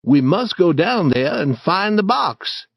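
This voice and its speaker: A man voicing a timid boy sidekick, in a slightly raised pitch, hesitant and stammering when scared. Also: big pitch swings, slow pace, slightly rough, dry recording, exaggerated voice. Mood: nervous. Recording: dry, slightly dull recording.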